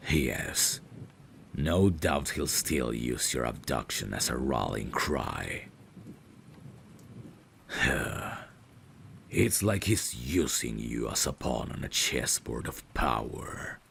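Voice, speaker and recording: A man playing a devoted, courteous vampire butler in an intimate ASMR audio roleplay, speaking formally and deliberately in a gravelly, breathy voice. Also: extremely low pitch, animated, fairly bright tone, slight background noise, heavily acted. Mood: grave, sympathetic. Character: devoted, courteous male vampire butler, tender yet menacing.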